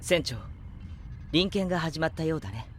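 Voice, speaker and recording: An actor playing a calm, perceptive young spaceship crewman with gentle, composed speech in a Japanese audio drama. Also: on the high side, normal speed, a little hiss or hum. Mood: calm warning.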